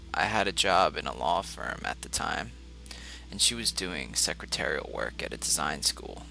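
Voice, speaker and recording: A man reading a literary short story aloud as scripted first-person narration, measured and broken into short phrases. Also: sits low, vocal fry, sharp tone, low-quality recording. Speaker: audiobook reader.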